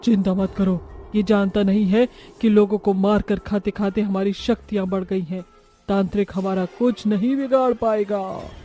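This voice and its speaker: A woman voicing a greedy, confident female witch ghost in an animated horror story, eager and boastful. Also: mid-range voice, moderate pace, faint music behind, close to an everyday voice.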